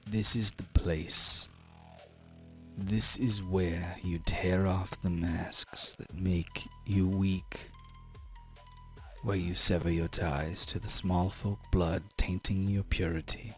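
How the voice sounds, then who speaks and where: A man's voice: low voice, steady tone, slow, soft background music. A man voicing a stern, zealous giantess aunt who preaches solemnly and commandingly in a tabletop game. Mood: solemn, menacing.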